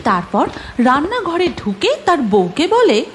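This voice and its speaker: A woman's voice: high voice, very animated, unhurried, a little hiss or hum. A woman storyteller reading a scripted narration for a children's cartoon tale. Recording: music behind voice.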